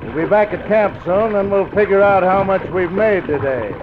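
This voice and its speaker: Man doing a scheming outlaw boss, Western drawl, tinny thin sound, clear hiss. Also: high voice, normal speed, natural voice. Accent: American Western. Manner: scheming, bossy. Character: cunning outlaw gang leader. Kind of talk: 1940s radio acting. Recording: tinny, hissy old radio recording.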